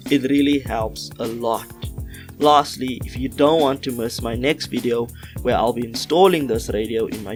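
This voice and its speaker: A man reading a scripted product unboxing voiceover in a measured, instructional way, recorded on a small external car-radio microphone. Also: mid-range voice. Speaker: host of a car audio channel. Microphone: small external car-radio microphone, cleaned-up voiceover.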